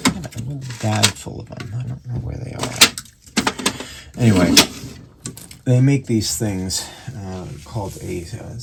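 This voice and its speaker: A man with a very low voice presents a casual, hands-on repair walkthrough. He speaks haltingly, thinking aloud as he works. Steady background noise and small clicks sit behind his words. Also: vocal fry. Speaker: radio repair hobbyist and presenter.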